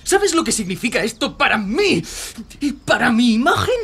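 An actor playing a domineering, jealous arms-dealer husband in an audio drama. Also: male voice, very high voice, big pitch swings, normal speed, vocal fry, a bit bright, husky, exaggerated voice. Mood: furious. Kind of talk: Spanish audio fiction drama.

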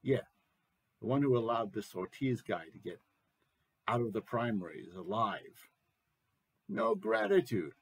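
A man's voice: medium pitch, very animated, a little slow, creaky, long pauses, husky, heavily acted. A man voicing a crude, boastful president in his sixties, ranting emphatically down the phone in a satirical stage monologue. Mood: bitter boasting. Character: crude, bitter, boastful 68-year-old male American president.

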